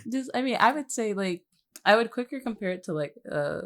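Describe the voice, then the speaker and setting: A woman's voice: mid-range voice, lively intonation, brisk, a bit bright. A woman talking casually as a podcast guest, with a playful, teasing way of speaking.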